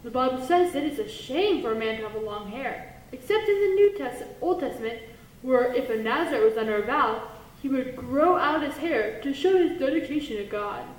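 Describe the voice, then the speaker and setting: A woman voicing a cheerful, bossy puppet who teaches Bible stories to children in an exaggerated way. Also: moderate pace. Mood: storytelling. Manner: exaggerated, animated, talks to children. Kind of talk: children's puppet show storytelling. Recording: echoey room with low rumble and noise.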